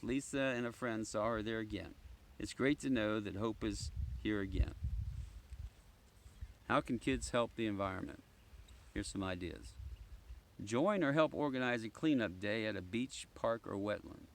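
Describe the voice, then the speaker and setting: A man reading a children's story aloud in an informal way, sometimes stumbling and correcting himself. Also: mid-range voice. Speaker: storybook reader, ranger. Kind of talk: read-aloud children's story with casual asides. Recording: clearly noisy background with clicks.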